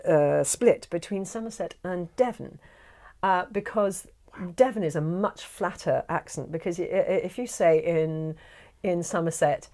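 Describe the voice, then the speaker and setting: Casting note: middle-aged British woman, slightly low voice, animated talker, lapel mic in a small studio. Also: unhurried. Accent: British English. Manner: lively, animated, slips into character voices. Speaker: guest narrator and director. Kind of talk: casual chat. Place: small studio with shelves of toys.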